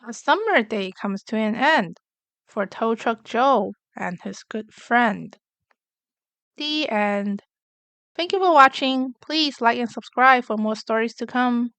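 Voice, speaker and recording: A woman reading a rhyming picture book aloud for young children, in an expressive, clearly enunciated storytelling manner. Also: on the high side, slow, dry recording. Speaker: children's story reader, channel host.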